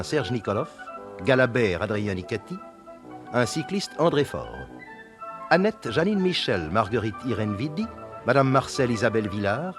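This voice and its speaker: Guy doing a formal radio play intro, reading credits, very lively pitch, music behind. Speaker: announcer reading the cast credits.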